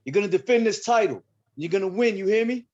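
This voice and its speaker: A man's voice: high voice, animated, fast talker, a little mellow, pitched above the performer's own voice. A man reading the part of a pushy, ranting boxing coach in a film script table read, emphatic and commanding. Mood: fierce, commanding. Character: ranting, pushy male boxing coach, conspiracy-minded, gruff. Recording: dull, lo-fi video call.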